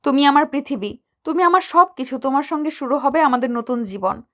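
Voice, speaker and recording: A woman acts out a sweet young wife and new mother in a romantic audio drama. Her voice is high, and she speaks with loving, affectionate tenderness. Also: brisk, fairly bright tone. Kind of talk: romantic audio story acting.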